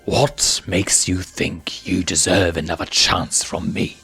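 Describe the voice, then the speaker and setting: A man's voice: low-pitched, flat delivery, pushed and bright, husky, soft background music, exaggerated voice. A man voicing a menacing, contemptuous villain lion in a storybook, drawing out his theatrical threats. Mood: furious, menacing. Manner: slow, drawn-out, theatrical threats.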